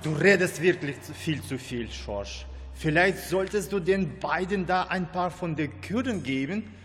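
A man acts out a scheming village vet in a radio play. He is cold and menacing, with a fairly high male voice and slow delivery. Music plays underneath in an echoing space. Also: animated, fairly bright tone. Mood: cold, threatening. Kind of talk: amateur radio play acting.

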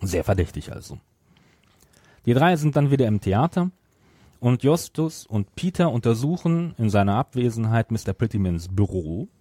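A man's voice: mid-range voice, big pitch swings, normal speed, dark tone, dry recording. A man hosting a podcast, retelling a story from notes and at times searching for words. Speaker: podcast host.

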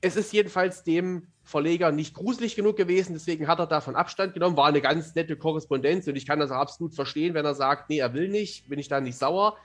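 A man's voice: a little high, fast talker, hardly any pauses. A young man speaking as a podcast guest, telling things casually in a wordy, articulate and playful way. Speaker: podcast guest, fantasy author. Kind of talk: casual, talkative storytelling.

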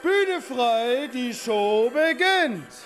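Guy doing a circus ringmaster, really high voice, grand emphatic announcing, music playing behind. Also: lively intonation, extremely slow, fairly bright tone, exaggerated voice. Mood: grand, rousing. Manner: declamatory, emphatic. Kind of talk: showy stage announcement in a children's radio play.

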